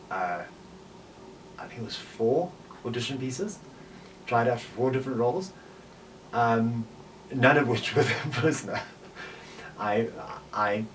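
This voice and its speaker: A South African man in his forties reflecting on personal experience in a halting way, with repeated words and pauses. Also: on the low side. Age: 40s. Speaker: podcaster and voice actor. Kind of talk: personal reflection, interview-style talk. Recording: distant mic with faint background noise.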